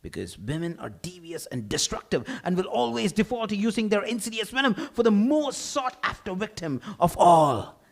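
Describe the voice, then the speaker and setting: Smug, scolding sitcom egotist played by a man, fairly high voice, very animated, short fuse. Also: moderate pace, gravelly, heavily acted. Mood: venomous. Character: smug, condescending, hot-tempered male bowler. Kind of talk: live comedy table read of a sitcom script.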